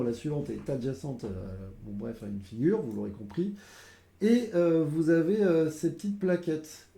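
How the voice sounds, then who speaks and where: A man's voice: fairly high pitch, mellow, echoey room. A man with a cold, explaining board game rules casually to viewers, hesitant and full of fillers. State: has a cold.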